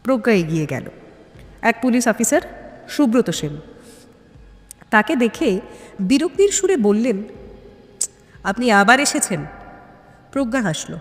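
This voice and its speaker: Animated woman narrator in long reverb. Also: a little high, normal speed, long pauses. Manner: expressive, suspenseful. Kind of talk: dramatic scripted audio-story narration.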